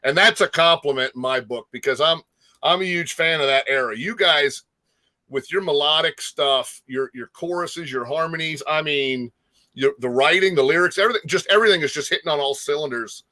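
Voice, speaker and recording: A man's voice: on the high side, moderate pace, bright, forceful tone. A middle-aged man hosting a casual music interview, speaking in an effusive, admiring manner. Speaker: talk show host.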